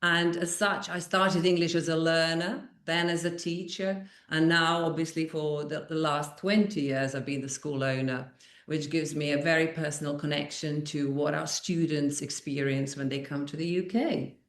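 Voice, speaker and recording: A middle-aged woman from Croatia, a language school founder speaking in a slightly halting way with fast bursts. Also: deep voice, fairly even intonation, echoey room. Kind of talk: podcast interview.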